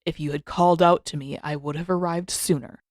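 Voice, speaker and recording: A stern, solemn old man as a woman narrator plays him, pitched low for a storybook sage. The phrasing is formal and measured, with grave authority, in a dry, close recording. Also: fairly even intonation, darkish tone, no room sound, lightly acted. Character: stern, formal old male spirit mentor.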